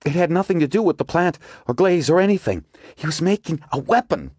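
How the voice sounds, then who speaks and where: Male reader voicing a breathless, insistent woman scientist, pitched well up. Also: very animated, a little fast, darkish tone, slightly rough, no echo, clean studio sound. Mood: urgent. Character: determined young woman scientist, urgent.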